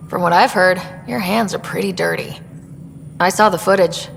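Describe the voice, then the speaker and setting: A direct, steady female Pathfinder with a lowish, gravelly voice. Game music plays softly under a long reverb. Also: normal speed. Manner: direct, plain-spoken.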